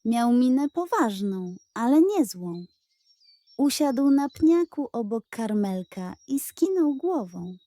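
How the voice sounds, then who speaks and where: A woman narrating a scripted children's audiobook in a gentle, expressive storytelling manner. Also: on the high side, slow pace, dry recording.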